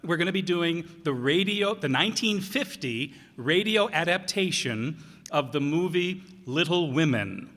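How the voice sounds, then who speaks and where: A man speaking informally and haltingly to an audience as a director announcing a show. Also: fairly high pitch, animated, a bit bright. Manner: halting, throat-clearing. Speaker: director of community radio plays. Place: town hall stage before an audience. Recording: echoey hall, long reverb.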